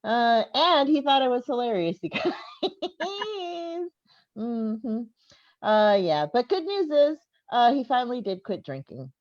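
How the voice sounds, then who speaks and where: A woman's voice: on the high side, very animated, slow pace. A woman in her fifties performing stand-up comedy, talking conversationally to the audience and often chuckling at her own jokes. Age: 50s. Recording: dull, echoey live venue recording.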